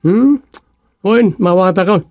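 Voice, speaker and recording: An actor playing a curious, easygoing village official in a comedy radio drama, full of questions. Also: male voice, high voice, slow, a little mellow, dry recording, studio quality. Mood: amused.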